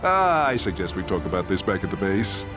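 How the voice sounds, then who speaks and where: A man's voice: low-pitched, sing-song, brisk, over music. A man playing a smug, scheming villain tycoon in a game cutscene, pompous and grandiose. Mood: irritated, scheming. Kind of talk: animated game cutscene acting.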